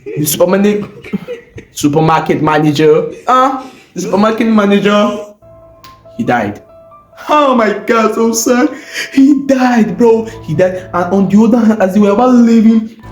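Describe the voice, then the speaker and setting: A man with a West African accent telling a story in an animated, informal way, full of exclamations, repeated phrases and rhetorical questions. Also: high voice. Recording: roomy echo, faint music bed.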